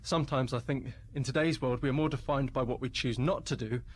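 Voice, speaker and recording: A man's voice: mid-range voice, steady tone, fairly quick, breathy edge, close to an everyday voice. A man playing a meek, awkward, polite captive in a radio play, hesitant and apologetic. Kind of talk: radio play monologue acting.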